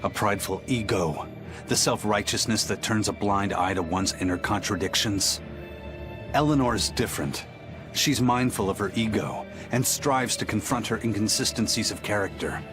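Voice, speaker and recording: Actor as a philosophical game warrior, low male voice, stoic, principled, faint music. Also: moderate pace, lightly acted. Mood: solemn.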